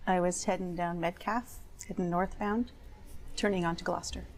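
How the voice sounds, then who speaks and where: Middle-aged plaintiff, played by an actress, vocal fry, short factual answers, cooperative witness. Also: female voice, on the low side, fairly even intonation, moderate pace, lightly acted, poor audio. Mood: matter-of-fact. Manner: brief, matter-of-fact answers. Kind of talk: witness testimony in a training dramatization.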